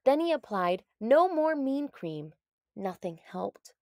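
A woman reads a children's story aloud with expressive delivery. Her voice sits slightly high and moves at a measured pace, with a dry, close sound. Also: dry recording. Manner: deliberate, expressive. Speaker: storybook narrator.

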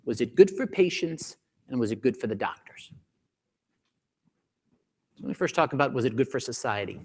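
A man in his forties giving a keynote talk on a conference stage, animated and acting out the voices of doctors, on a lapel mic. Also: mid-range voice, normal speed, husky, in a large hall. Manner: animated, acts out doctors' voices, gestures a lot. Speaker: keynote speaker. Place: large conference hall stage.

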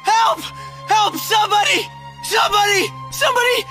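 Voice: medium pitch, very animated, measured pace, a bit bright, faint music behind. A performer voicing a young male lion hero who talks earnestly and pleads urgently. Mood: pleading.

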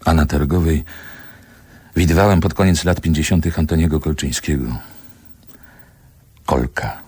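An actor playing a man who wistfully and wryly recalls his childhood in a literary radio play, deliberate and pausing between short phrases. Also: extremely low pitch, a little mellow, husky, dry recording. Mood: solemn, reflective. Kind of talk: literary radio drama monologue, lyrical reminiscence.

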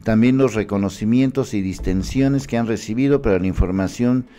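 A man's voice: fairly low pitch, fairly quick. A man in his fifties with a Mexican accent, giving emphatic, indignant political commentary to camera from a small studio on a mic in frame. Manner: emphatic, indignant, animated hand gestures. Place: small studio with city backdrop.

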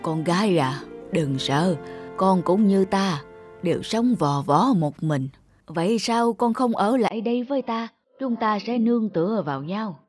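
Woman playing a gentle, lonely old village woman. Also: medium pitch, a little slow, faint music behind, close to an everyday voice. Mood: tender. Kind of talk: cartoon folk-tale voice acting.

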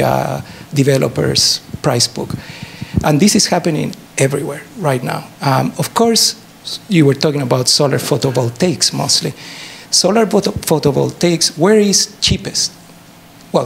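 Chilean-accented male academic, native Spanish speaker, heavy vocal fry, emphatic explainer in a noisy lecture theatre. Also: fairly high pitch. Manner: animated explaining, short emphatic statements, rhetorical questions. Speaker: professor giving a talk. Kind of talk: answering an audience question at a public lecture. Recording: noisy lecture hall recording.

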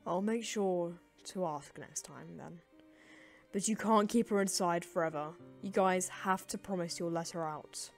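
A woman voicing a kind, apologetic teenage boy in a comic dub, speaking in an earnest, gentle, slightly hesitant way. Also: on the low side, measured pace, soft background music. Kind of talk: animated comic dub acting.

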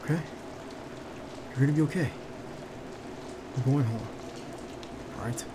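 A man playing a protective, earnest bodyguard in an ASMR roleplay, speaking haltingly and insistently straight to the listener. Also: mid-range voice, close to an everyday voice. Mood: reassuring. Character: protective, earnest young male bodyguard to a crime boss. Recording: clear background noise under the voice.

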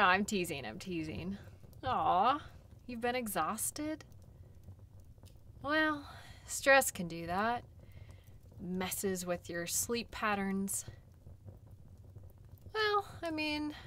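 A woman playing a friendly, caring dog sled guide in an intimate audio role play, gentle and teasing. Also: mid-range voice, heavily acted.

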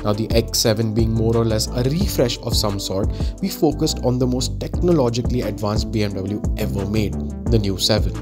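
A man reading a polished, scripted voice-over narration in a steady, even delivery. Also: fairly low pitch, a little fast. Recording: music bed under the voice.

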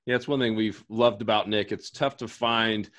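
A man in his thirties hosting an excited livestream pitch, hoarse from allergies, talking fast with lots of energy in a home office. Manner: energetic, gestures a lot. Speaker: host. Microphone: headset and studio mic.

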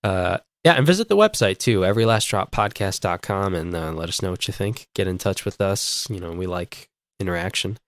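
A man's voice: deep voice, animated, a little fast, hardly any pauses, dry recording. A man hosting a podcast with a stuffy, congested voice, joking and rambling in casual chat. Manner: joking, rambling, stumbling over words. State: congested from a cold. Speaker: podcast host.

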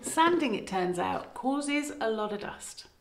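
A woman's voice: fairly high pitch, expressive, a little slow, slight background noise. A middle-aged woman chatting casually to her phone camera inside an old farmhouse, rambling and correcting herself as she goes. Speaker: vlogger renovating a farmhouse. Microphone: phone camera at arm's length. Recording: roomy echo.

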